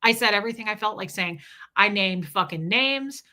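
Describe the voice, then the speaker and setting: A woman's voice: medium pitch, lively intonation, moderate pace, bright, forceful tone. A woman in her forties hosting a casual livestream, reading viewer super chats and talking candidly, with frequent swearing. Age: 40s. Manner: candid and profane, reading viewer comments aloud. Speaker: livestream host.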